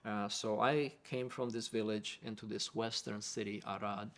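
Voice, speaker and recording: A man's voice: lowish voice, fairly even intonation, normal speed. A middle-aged man from Romania with a Romanian accent, a pastor and professor telling his life story earnestly and reflectively in an interview, often repeating words.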